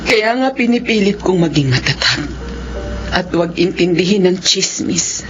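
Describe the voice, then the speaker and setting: Actress as a dignified middle-aged mother, lowish voice. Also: animated, normal speed, music in the background, lightly acted. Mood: hurt, tearful. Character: Carmela, middle-aged teacher and wounded, steadfast mother. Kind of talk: Filipino radio drama acting. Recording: dull radio recording, clearly noisy.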